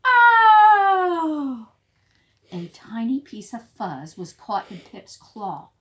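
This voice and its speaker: Female picture-book reader for young children: highly animated, expressive, explanatory teacher delivery. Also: slow pace, slight background noise. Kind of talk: animated read-aloud storytelling.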